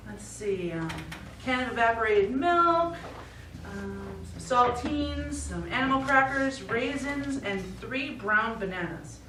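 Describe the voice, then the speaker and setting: A woman's voice: on the high side, expressive, takes it slowly, music in the background. A middle-aged woman playing a practical, concerned daughter in a live stage play, coaxing with mild exasperation. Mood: brisk, checking in. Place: small indoor theatre stage, recorded from afar. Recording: echoey theatre, distant mic, faint noise.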